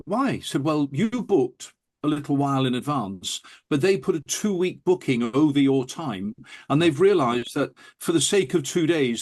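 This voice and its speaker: A man talking enthusiastically and telling anecdotes as an interview guest. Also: on the high side. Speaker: interview guest, audiophile recording producer. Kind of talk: animated anecdotal interview talk.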